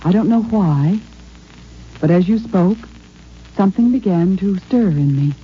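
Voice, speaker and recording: A woman's voice: medium pitch, lively intonation, measured pace, breathy edge. An actress playing a composed woman scientist, clinical yet tender underneath, in a 1950s American radio drama. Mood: troubled, tender. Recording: muffled old radio recording with hum.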